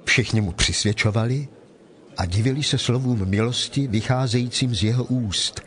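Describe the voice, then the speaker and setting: A man narrating scripture from a script in a measured, formal manner. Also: medium pitch, a bit bright.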